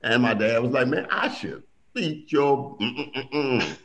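A middle-aged man telling family stories in a casual conversation, animated and acting out quotes. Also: slow pace. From Milwaukee. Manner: animated storyteller, acts out quotes with big pitch jumps.

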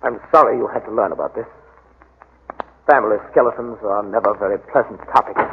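A man playing a courteous but sinister gentleman host, smoothly evasive, in 1940s radio drama style. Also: on the low side, a little fast, lightly acted. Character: suave, sinister male host of a remote ruined house. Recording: muffled, hissy 1940s radio recording.

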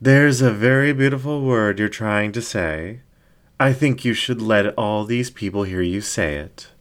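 A man voicing a seductive, commanding male fox demon ringmaster in a story reading, slow, grandiose and coaxing. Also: fairly low pitch, natural voice. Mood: pleased, teasing.

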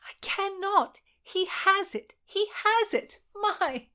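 A woman voicing an eager, excited young Tudor noblewoman who squeals breathlessly. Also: high voice, moderate pace, pitched above the performer's own voice.

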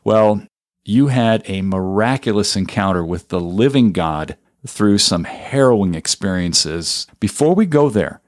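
A male podcast host who reads a scripted intro and asks polite, measured questions. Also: on the low side, lively intonation.

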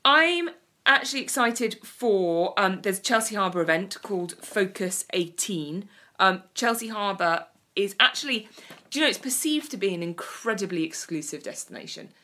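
A woman's voice: medium pitch, animated, normal speed, pushed and bright. A woman co-hosting a casual chat, talking with eager emphasis about design. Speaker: podcast co-host.

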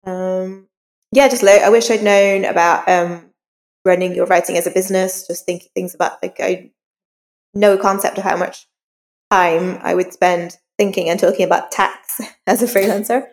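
Author guest, woman, lowish register, informal and reflective interview talk, recorded with heavy room reverb. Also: fairly even intonation, moderate pace. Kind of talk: casual interview conversation. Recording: long reverberant room sound.

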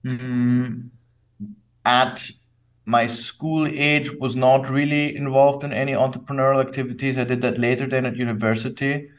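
A young man from Austria, raised speaking English and German, answers questions thoughtfully and carefully, pausing and restarting as he goes. His voice sits at an average pitch for a man. He is picked up from a little way off the mic on what sounds like a remote call. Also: flat delivery, distant mic. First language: German and English, bilingual. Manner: thoughtful, measured, with fillers and restarts. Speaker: company co-founder, guest. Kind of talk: casual interview answers.

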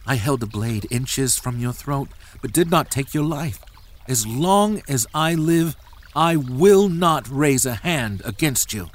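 A man's voice: medium pitch, slightly rough, full character voice. A man playing an impassioned, loyal young warrior who pleads with anguish in an earnest, formal Bible drama. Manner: earnest, emphatic, archaic formal phrasing. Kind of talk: dramatized Bible story acting.

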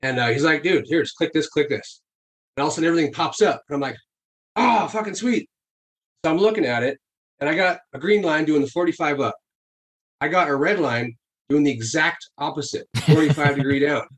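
A man's voice: fairly high pitch, expressive, a little fast, fairly bright tone. A man chatting casually on a podcast, telling stories with frequent laughs and swearing. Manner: storytelling, swears freely, laughs often.

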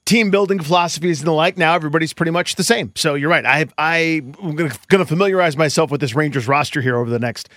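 A man's voice: fairly high pitch, fast talker, few pauses, a bit bright, no room sound. A man co-hosting a sports podcast, giving casual baseball analysis.

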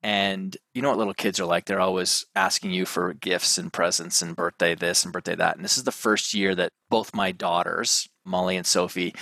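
Man, 30s, deep and forceful, dry close sound, rambling guest answers. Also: steady tone, normal speed, creaky, few pauses, no echo. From Canada. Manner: rambling, thinks aloud with restarts. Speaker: guest, mental performance coach. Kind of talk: casual interview chat.

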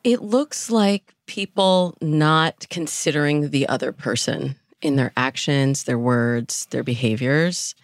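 A woman giving articulate, measured explanations as a dating coach in a podcast interview. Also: low-pitched, dry recording, clean studio sound.

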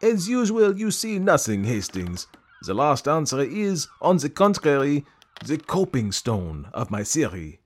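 A man's voice: fairly high pitch, huge pitch swings, a little slow, voice pushed up, full character voice. A man voicing a vain, fussy middle-aged male detective who talks in a pompous, precise and self-satisfied way. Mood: condescending.

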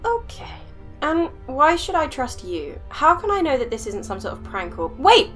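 A flustered young woman scientist, voiced by an actress, who stumbles over her words and covers her nerves with sarcasm. Her voice is bright and lively, rising and falling a lot. Soft music sits faintly under the dialogue. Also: high-pitched, normal speed. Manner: nervous, stammering, sarcastic. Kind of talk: audio drama acting.

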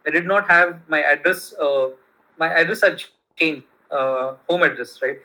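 A man's voice: on the high side, measured pace, pushed and bright, rough recording. A man from India whose first language is Kannada, telling a business story in casual conversation with many fillers and restarts.

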